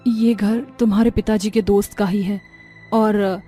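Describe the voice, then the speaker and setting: A woman voicing a haughty middle-aged mother-in-law in a deliberate, theatrical cartoon style. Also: mid-range voice, level tone, dark tone, soft background music, natural voice. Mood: remorseful. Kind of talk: cartoon story dubbing.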